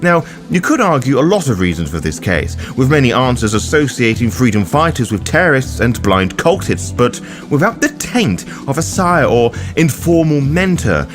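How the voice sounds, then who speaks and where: A man's voice: medium pitch, very animated, moderate pace, hardly any pauses, over music. A man delivering scripted video-essay narration in an emphatic, opinionated manner, as the host of a lore guide.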